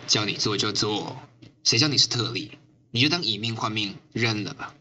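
A man plays a stern riot-squad captain in a radio drama. The voice is very sharp and forceful, coldly logical and curt, over faint noise. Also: lowish voice, lively intonation, moderate pace. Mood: curt, impatient. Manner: curt, commanding. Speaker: actor in amateur radio drama. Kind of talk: amateur radio drama acting.

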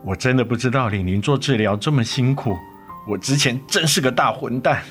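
An actor playing a tender, remorseful father and ex-husband in a radio drama, earnest and gentle in manner. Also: medium pitch, fairly quick, lightly acted. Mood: remorseful, pleading. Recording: music under the voice.

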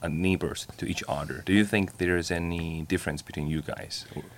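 Man, very low voice, halting, repeats words, interview host, faint background noise. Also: fairly even intonation. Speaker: interview host, former club president. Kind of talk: casual conversational interview.

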